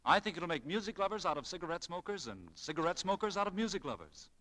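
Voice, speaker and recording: Fawning store employee, highish bright voice, effusive, deferential, old radio drama. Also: male voice, expressive, fast talker, rough recording. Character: flattering, eager-to-please male shop employee. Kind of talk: 1940s radio acting.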